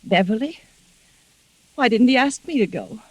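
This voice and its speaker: Frightened young wife, high-pitched, breathy and halting, 1940s radio drama, sizzling old recording. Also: female voice, expressive, dry recording, poor audio. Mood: subdued, uneasy. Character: frightened young wife, anxious and suspicious, haunted by nightmares. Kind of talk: 1940s radio mystery acting.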